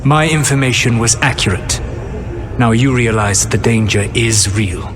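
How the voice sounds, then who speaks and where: An actor playing an ancient elven trickster god who speaks in a measured, formal, cryptic way. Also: male voice, mid-range voice, steady tone, music in the background, natural voice. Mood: solemn.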